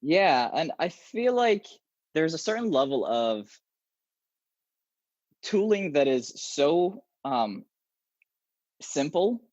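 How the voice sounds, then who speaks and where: A young man whose first language is Arabic, a software engineer talking animatedly and casually as an interview guest over a video call from a small room at home. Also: on the high side, slow, pauses often, dry recording. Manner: animated, gestures a lot, many restarts. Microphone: earbuds on a video call. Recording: dull, slightly lo-fi video call audio.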